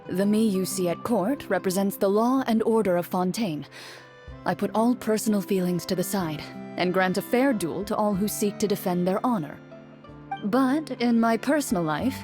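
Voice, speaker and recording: Composed young swordswoman for a game, acted by a woman; measured, formal, dryly earnest, mid-pitched, music behind. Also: close to an everyday voice. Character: composed young female champion duelist, courteous, dryly earnest. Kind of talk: video game character dialogue.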